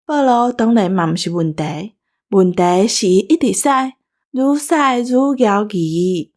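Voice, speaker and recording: A female host with a Taiwanese accent tells anecdotes in a conversational way. Her voice sits at an average pitch. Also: expressive, a little slow, darkish tone. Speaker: show host.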